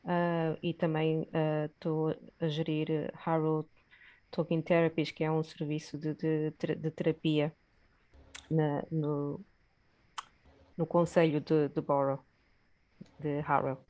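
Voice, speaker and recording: A middle-aged woman from Guinea-Bissau, introducing herself haltingly as a psychologist on an online panel. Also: deep voice, level tone. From Guinea-Bissau, lived long in Portugal. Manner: hesitant, halting. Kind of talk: informal self-introduction at online event. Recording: muffled online call.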